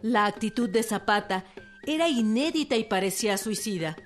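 A woman's voice: mid-range voice, lively intonation, normal speed, soft background music. A woman reading scripted narration and credits for a historical radio production.

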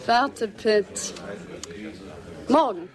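A woman's voice: on the high side, drawn-out words, crowd noise. An actress playing a knowing, coquettish canteen girl in a Wild West radio drama, confiding and teasing. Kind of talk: German radio drama acting.

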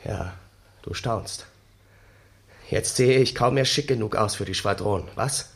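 An actor playing a haunted, bitter young ex-soldier, telling his story wearily and dramatically in a gravelly, husky voice for a 1960s German radio play. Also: fairly low pitch, unhurried, heavily acted. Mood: bitter, agitated.

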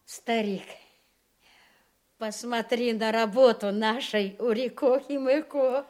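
An actress playing a kind, patient old grandmother in a Russian fairy-tale audio play, gentle and encouraging. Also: fairly high pitch, fairly even intonation, takes it slowly. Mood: fond.

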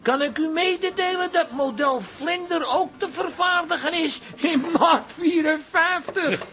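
An actor playing a nosy, teasing busybody, arch and mock-formal, in a radio comedy. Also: male voice, very high-pitched, unhurried, bright, forceful tone, exaggerated voice. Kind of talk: radio comedy drama acting.

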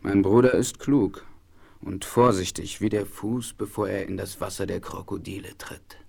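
An actor playing a proud, solemn and wise chief in a German audio drama, speaking in formal, measured phrases. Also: male voice, low-pitched, fairly even intonation, vocal fry, darkish tone, slight background noise. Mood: watchful, dignified. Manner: formal, measured, speaks of himself in third person.

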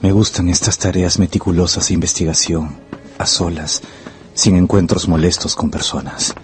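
A man acting as a young scholar in a radio play, courteous and unsure of himself. His voice is fairly low, with music underneath. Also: fairly even intonation, normal speed, close to an everyday voice. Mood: pensive. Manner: polite, hesitant. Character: hesitant, polite, studious young male historian. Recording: music behind the voice.

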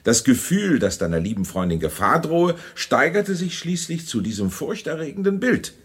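An actor playing a genteel, fussy, middle-aged general and father in a German radio drama, courtly and husky. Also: on the high side, moderate pace. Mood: genial reassurance. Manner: courtly, animated.

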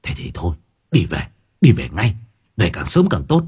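Audio-story elder: old male labourer acted by a man, deep husky murmur, kindly, resigned, worried and fatherly. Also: huge pitch swings, normal speed, exaggerated voice. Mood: worried, urging. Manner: gentle, worried, advising patience.

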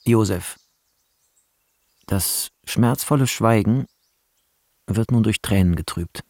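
A man reading screenplay directions in a measured, deliberate way as radio drama narration, with a husky, gravelly voice. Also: on the low side, a little mellow, dry recording, studio quality. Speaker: reader of film credits and screenplay directions.

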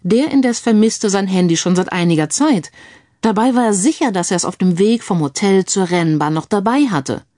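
A woman narrates a crime audiobook in a scripted storytelling style. Her voice sits at a medium pitch, recorded very dry with no room around it. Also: lively intonation, moderate pace, warm tone, dry recording.

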